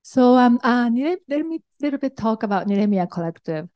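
A woman's voice: a little high, animated, brisk, darkish tone, dull audio. A middle-aged woman with a Japanese accent, presenting to a conference audience as an investor, hesitant with frequent ums and restarts. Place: conference hall.

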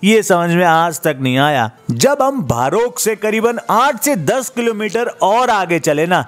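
Guy in his 30s doing voice-over for his travel vlog, high lively voice, soft music under it. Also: unhurried. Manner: storytelling, chatty and excited. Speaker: travel vlogger.

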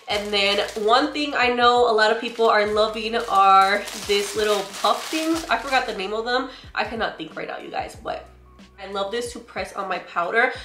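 A young woman with a loud voice, chatting emphatically to the camera from her bedroom as she reviews beauty products and keeps calling her viewers "girl.". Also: mid-range voice, roomy sound. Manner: chatty and emphatic, often says "girl" and "you guys". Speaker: beauty vlogger. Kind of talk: casual product haul talk to camera. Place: bedroom, indoors.

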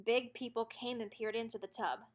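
A woman narrating a children's storybook aloud in an expressive read-aloud style. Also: on the high side, moderate pace.

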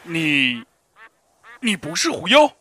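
A man's voice: on the high side, sing-song, slow, pushed and bright, a little hiss or hum, exaggerated voice. An actor playing a hasty young male swordsman hunting fox spirits in an audio drama, blunt and direct. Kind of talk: amateur audio drama acting.

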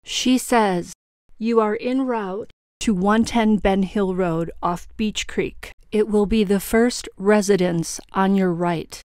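A woman's voice: medium pitch, darkish tone, no echo, clean studio sound. A woman delivering scripted true-crime commentary in a pointed, questioning manner.

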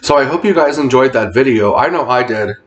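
A man's voice: medium pitch, lively intonation, fairly quick. A man in his thirties chatting casually to viewers as a vlog host, recorded on a camera mic in a home kitchen.

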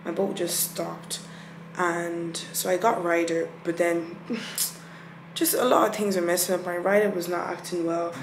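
A woman's voice: fairly low pitch, flat delivery, unhurried, roomy sound, slight background noise, rough recording. A young woman in her twenties, tired and chatty, talking to her phone at home in a rambling, laughing vlog. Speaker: vlogger. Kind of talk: casual vlog chat to camera. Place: indoors at home, bedroom. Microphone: phone held at arm's length.

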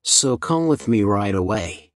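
A woman voicing a deep, sly jackal. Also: lively intonation, unhurried, no echo, clean studio sound. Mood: scheming. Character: cunning male jackal, scheming and persuasive. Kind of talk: storybook reading.

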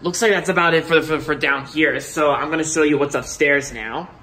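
A man's voice: a little high, a little fast, pushed and bright. A young man filming a casual tour of his new house on a handheld phone, walking room to room and talking in a rambling way, with many repeated words and restarts. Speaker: vlogger giving a house tour. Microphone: handheld phone camera held by the speaker, off screen.

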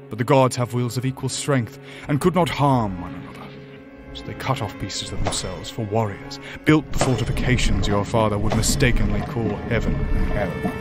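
An actor playing a grave, ancient demon from a higher dimension in a horror audio drama, speaking formally and deliberately. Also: male voice, natural voice. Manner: formal, deliberate, solemn, eloquent.

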